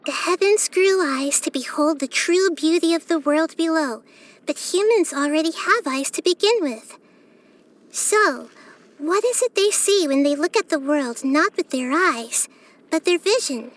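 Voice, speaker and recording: A woman voicing a wise, gentle, curious child-like goddess in a video game, with a light youthful voice and earnest, thoughtful phrasing. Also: high voice, expressive, unhurried. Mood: wise, reflective. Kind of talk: video game character voice lines.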